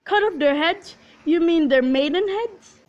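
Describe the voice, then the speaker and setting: A woman acting as a skeptical servant in a student radio play. The female voice is high, and it teases and needles. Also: expressive, measured pace, dry recording, lo-fi sound. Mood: teasing. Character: Gregory, teasing, skeptical servant, ready to squabble.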